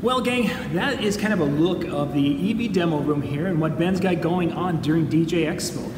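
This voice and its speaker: Higher-voiced male host in an echoey room. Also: moderate pace, recorded from a distance. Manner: playful, teasing. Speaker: show host. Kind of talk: casual on-location hosting. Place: indoor demo room at a trade expo. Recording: echoey, noisy room with long reverb.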